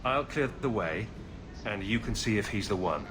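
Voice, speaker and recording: A man's voice: fairly low pitch, animated, normal speed, over music, lightly acted. An actor playing a blunt, dry-witted man in a video game, with an exasperated edge. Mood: dry, prodding.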